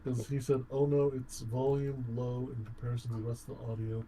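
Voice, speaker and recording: A man's voice: level tone, brisk, dark tone, low-quality recording. A man bantering casually over a game livestream on an online voice call, swearing and often repeating his phrases. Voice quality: low baritone voice. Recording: voice chat with clear background noise.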